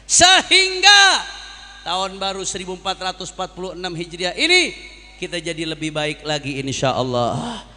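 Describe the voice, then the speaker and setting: A man's voice: sits high, big pitch swings, moderate pace, pushed and bright, in a large hall. A man preaching a sermon to a congregation as an impassioned orator, using repetition and rhetorical questions. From Jakarta, Indonesia. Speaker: Islamic preacher.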